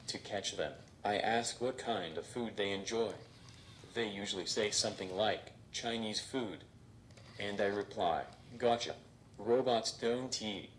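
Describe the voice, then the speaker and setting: A man voicing a robot stand-up comedian who delivers deadpan jokes on stage. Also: fairly low pitch, slight background noise, close to an everyday voice, low-quality recording. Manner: deadpan, flat punchlines. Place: stage before a live audience.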